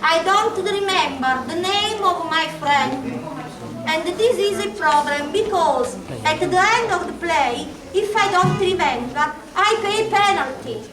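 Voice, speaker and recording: A woman's voice: very high voice, expressive, measured pace. A woman leading a classroom game, firm and emphatic as she gives instructions. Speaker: workshop leader. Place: large indoor school hall. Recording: echoey hall from far off, loud crowd. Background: chattering children.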